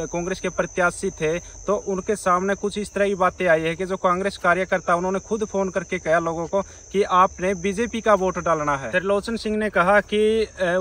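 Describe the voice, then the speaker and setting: A man presenting an informal news report to viewers in a halting, repetitive way with frequent hesitations. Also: high-pitched, sharp tone, rough recording.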